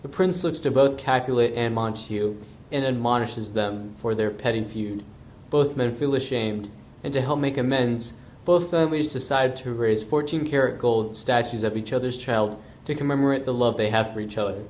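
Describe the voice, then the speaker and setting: A man's voice: medium pitch, normal speed, a little mellow, a little hiss or hum. A man narrating an amateur puppet show retelling from a script. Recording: roomy echo.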